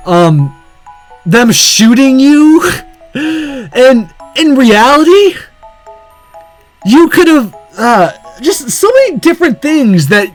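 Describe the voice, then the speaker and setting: Blunt game commentator with very lively pitch. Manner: blunt, exasperated, halting delivery. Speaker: gaming video host. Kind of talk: casual game commentary, opinionated rant.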